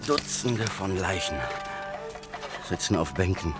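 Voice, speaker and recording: An actor playing a grim German soldier in a radio drama, reporting tersely and haltingly to a superior. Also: male voice, low voice, expressive, over loud music. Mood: grim, flat.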